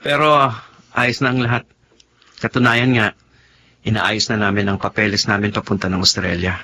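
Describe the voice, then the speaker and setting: Isang malumanay na binata, may bahagyang ingay. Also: male voice, fairly low pitch, level tone, moderate pace, bright, forceful tone. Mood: reassuring, wistful. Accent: Filipino. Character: gentle, self-sacrificing young man, devoted friend and son. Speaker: radio drama actor. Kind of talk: Tagalog radio drama acting. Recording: faint background noise.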